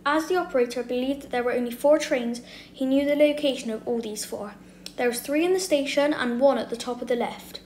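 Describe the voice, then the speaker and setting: A woman's voice: high-pitched, fairly quick, darkish tone. A woman reading a scripted documentary narration as the narrator of a video. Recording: roomy echo, faint background noise.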